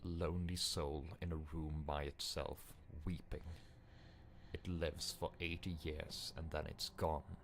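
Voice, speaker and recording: A man reading dark game narration as a dramatic script, grave, deliberate and ominous. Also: extremely low pitch, level tone, vocal fry, a little hiss or hum. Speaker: amateur voice actor.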